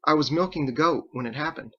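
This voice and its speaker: A man's voice: a little high, sharp tone, dull audio, voice pushed up. Man playing a nervous, raised-voice young fellow. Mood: explaining. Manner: halting, pleading, plain everyday speech. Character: nervous, awkward, defensive male sailor.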